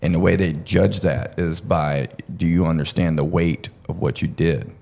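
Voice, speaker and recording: A man's voice: rumbling low, normal speed, vocal fry, darkish tone. A man chatting casually about true crime in a blunt, profane way.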